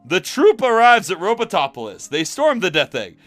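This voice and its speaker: A man's voice: high voice, huge pitch swings, normal speed, fairly bright tone. A man reading fan fiction aloud on a stream in a theatrical, mocking way, given to giggles and asides. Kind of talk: dramatic, mocking story reading on a casual stream. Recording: music behind the voice. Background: music.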